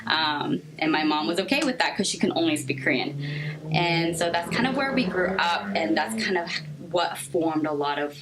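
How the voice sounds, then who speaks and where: A young woman from Seattle, a therapist answering interview questions earnestly, with frequent ums and pauses. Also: fairly low pitch, noisy background. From Seattle, Washington. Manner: hesitant, many ums, earnest. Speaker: guest therapist.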